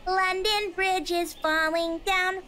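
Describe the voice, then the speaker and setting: Game role: small girl around six, very high, unhurried singsong delivery, music behind. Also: steady tone, pushed and bright, exaggerated voice. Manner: childlike, singsong. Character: little girl of six or seven, singsong and forthright. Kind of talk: video game voice acting.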